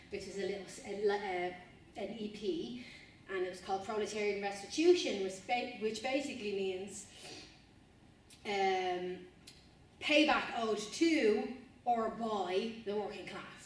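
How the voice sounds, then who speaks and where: A woman's voice: mid-range voice, slight background noise. A young Irish woman giving an informal talk to students, earnest and rambling, repeating and restarting phrases. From Ireland. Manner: earnest, rambling, repeats and restarts phrases, says "you know what I mean". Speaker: spoken word artist giving a talk. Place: university room, indoors. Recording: distant, very echoey room with faint ambience.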